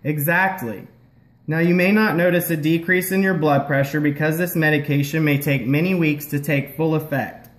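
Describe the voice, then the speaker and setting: A man's voice: on the high side, steady tone, normal speed, close to an everyday voice. A man playing a patient, explanatory health-care puppet in a scripted educational show. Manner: explanatory, instructional. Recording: roomy echo.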